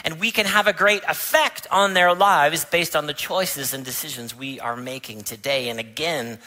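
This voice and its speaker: A man's voice: a little high, moderate pace, bright, forceful tone. A man, a pastor, giving a sermon in a church. He tells a family story, then rises to emphatic preaching. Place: church sanctuary.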